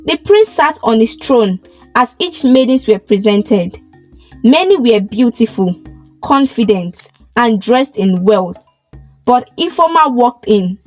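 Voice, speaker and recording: A woman narrating a scripted folktale in a dramatic, expressive way. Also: fairly high pitch, slow, faint music behind. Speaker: storyteller, narrator.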